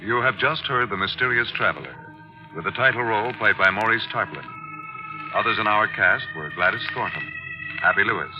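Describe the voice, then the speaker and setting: A man's voice: lowish voice, a little fast. A man announcing cast credits in formal 1940s radio style. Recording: muffled old radio, loud music.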